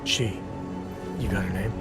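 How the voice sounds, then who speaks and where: An actor playing a guarded, laconic covert agent in a video game, with clipped, understated delivery. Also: male voice, on the low side, steady tone, unhurried, music in the background, lightly acted. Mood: probing, restrained. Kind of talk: video game cutscene dialogue.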